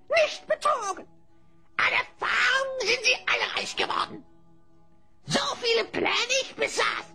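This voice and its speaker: A very high, squeaky little creature, cheeky and boastful. It talks slowly and theatrically, savoring its rhymes like a showoff. Music plays clearly behind this fantasy radio play voice. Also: female voice, sharp tone, exaggerated voice. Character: cheeky small rhyming talking creature, mocking and boastful. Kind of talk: German fantasy audio drama acting.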